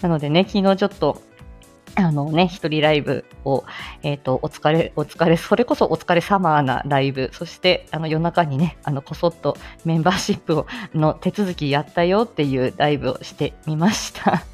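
A woman's voice: low-pitched, moderate pace, darkish tone, soft background music. A woman hosting a casual live audio stream, chatting to listeners in a giggly, playful way. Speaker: speech-language therapist.

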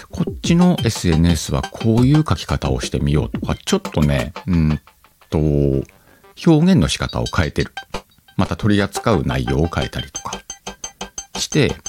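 A man hosting a casual solo podcast, thinking aloud as he talks directly to his listeners. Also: a bass voice. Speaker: podcast host. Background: background music.

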